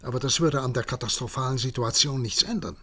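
Statesmanlike president, grave, oratorical, radio play, slightly rough voice. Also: male voice, mid-range voice, bright, forceful tone. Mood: urgent. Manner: measured, formal, oratorical. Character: grave statesmanlike male president of future humanity. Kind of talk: German radio play acting.

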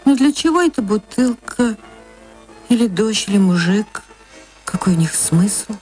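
An actress reading a short story for radio, in expressive dramatic literary narration with drawn-out words. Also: female voice, mid-range voice, soft background music.

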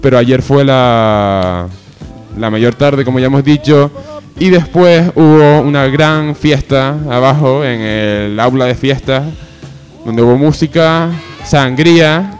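A young man hosts a college radio chat at an ordinary pitch. He rambles, corrects himself mid-sentence and jokes around, with faint music under his voice. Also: slow pace. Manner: rambling, self-correcting, joking. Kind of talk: casual chat.

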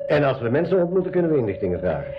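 An actor playing a calm, practical and polite adult companion in a school radio adventure drama. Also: male voice, mid-range voice, very fast, background noise, close to an everyday voice. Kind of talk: 1980s Dutch-language school radio drama acting. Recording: dull band-limited 1980s radio recording.

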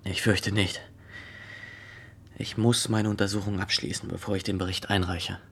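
A man playing an earnest young fox inspector in a radio drama, speaking in a stiff, formal and deliberate way. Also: fairly low pitch, flat delivery, creaky, fairly bright tone, breathy edge, heavily acted. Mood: stiff, unyielding. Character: rigid, rule-bound young fox inspector, official and earnest.

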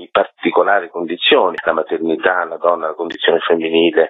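A man with a very low voice talks over a narrow, tinny phone line. His voice is husky and rough-edged, with no room sound at all. He speaks as a festival director answering radio interview questions. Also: no echo. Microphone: phone call.

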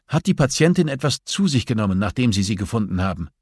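A man voicing a forceful hospital doctor in an audiobook, urgent and impatient, with a clipped, interrogating manner. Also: lowish voice, big pitch swings, quick pace, slightly rough, no room sound, clean studio sound. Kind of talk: audiobook dialogue acting.

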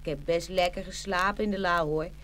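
A woman's voice: on the low side, steady tone, a little fast, a bit bright, natural voice. Woman voicing a girl doll, pert, good-natured, matter-of-fact, fairy-tale audio play. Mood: pert, generous. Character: pert little female doll, haughty but good-natured.

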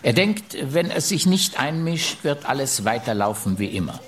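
An actor in a radio play voicing an aggrieved French royal minister reciting a letter in grave, measured, emphatic phrases. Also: male voice, medium pitch, very animated, slight background noise, close to an everyday voice. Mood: bitter, resigned. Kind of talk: radio drama, letter read aloud.

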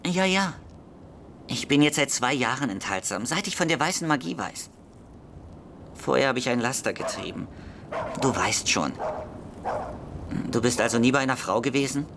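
An actor playing a lonely, agitated schoolboy seeker in a radio drama, pleading urgently and earnestly. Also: male voice, medium pitch, lively intonation, normal speed, long pauses, slight background noise, close to an everyday voice. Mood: fervent, earnest. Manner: urgent, hasty, pleading, confiding. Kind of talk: German literary radio drama.

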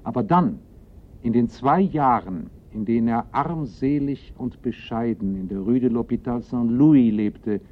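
A man's voice: mid-range voice, animated, measured pace, close to an everyday voice. A man narrating a criminal case in a radio play, in a sober, formal, factual manner. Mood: sober, summing up. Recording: muffled, narrow-band old radio recording.

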